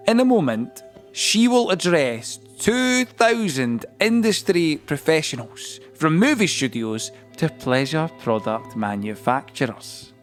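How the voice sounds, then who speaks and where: A man acting as the storyteller of an audio play, narrating in a measured, suspenseful, dramatic way. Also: on the high side. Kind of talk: dramatic scripted third-person narration. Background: music score.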